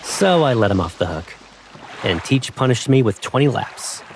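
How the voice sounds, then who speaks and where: A man voicing an affectionate, protective young man who teases gently, in a fan dub of a romance game. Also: mid-range voice, very animated, moderate pace, vocal fry, a little hiss or hum, low-quality recording. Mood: playful, reminiscing.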